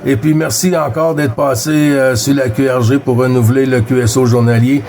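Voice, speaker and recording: A man's voice: medium pitch, fairly even intonation, normal speed, darkish tone, soft background music. A man with a Québécois French accent, chatting casually as a CB radio operator in a hesitant, courteous, repetitive way. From Quebec, Canada. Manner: hesitant, courteous, repeats himself. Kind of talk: casual radio contact chat.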